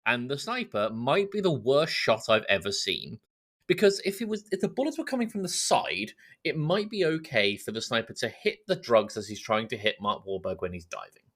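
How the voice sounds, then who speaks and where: A man co-hosting a podcast, talking casually about films in an animated, mocking storytelling way. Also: medium pitch, normal speed. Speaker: podcast co-host. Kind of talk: casual film discussion.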